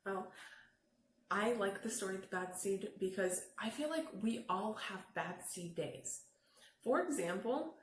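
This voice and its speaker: A woman teacher talking to young viewers in a children's lesson, explaining things simply, step by step. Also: mid-range voice. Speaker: teacher hosting children's read-alouds. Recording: echoey room, faint background noise.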